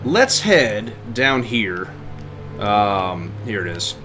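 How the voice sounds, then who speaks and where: A man's voice: lowish voice, sing-song, extremely slow, over music. A man livestreaming a video game with casual running commentary. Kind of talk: casual let's play commentary.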